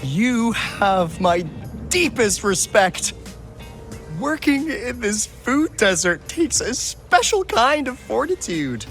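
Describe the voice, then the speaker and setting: A man's voice: very high voice, sing-song, a bit bright, heavily acted. An actor playing an easygoing, wry young male agent in a video game, talking casually with teasing asides. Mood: wry, amused. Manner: casual, teasing, drawling then brisk asides. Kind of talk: video game cutscene voice acting, conversational. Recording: music behind the voice.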